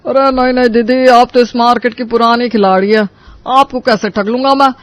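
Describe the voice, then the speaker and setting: Man acting a very high-voiced vegetable hawker. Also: fairly even intonation, normal speed, a bit bright, clear, resonant tone, no echo. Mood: placating. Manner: coaxing, calling out wares. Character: wheedling, persistent male market vegetable seller.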